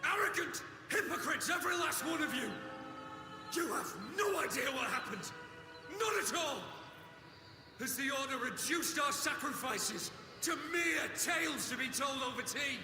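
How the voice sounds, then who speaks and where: An outraged veteran knight in a fantasy video game. He rants bitterly at the top of a high, strained voice that rings through a large hall over music. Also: lively intonation, moderate pace. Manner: ranting, shouting, accusatory. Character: furious, bitter male war-veteran knight denouncing hypocrites. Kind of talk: dramatic video game voice acting. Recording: echoey hall reverb, music underneath.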